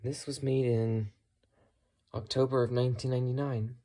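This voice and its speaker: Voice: medium pitch, little pitch movement, normal speed, warm tone. A hobbyist vlogger giving a casual, unscripted show-and-tell in a matter-of-fact way, musing tentatively while talking. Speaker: hobbyist vlogger showing thrift-store radio finds.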